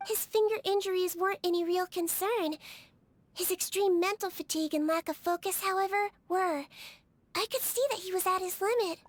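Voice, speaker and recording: A woman voicing a small, cheerful, caring melusine nurse girl in a video game, with a sweet, polite and gently quirky manner. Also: very high-pitched, normal speed, no echo. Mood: warm, earnest. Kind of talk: animated video game character voice acting.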